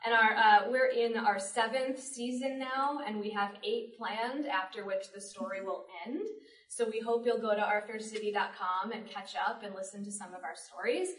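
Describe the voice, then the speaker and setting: A woman's voice: medium pitch, steady tone, normal speed, fairly bright tone. A woman moderating a live panel in a casual, conversational way as its host. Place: public library event room. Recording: roomy live panel recording, distant mic.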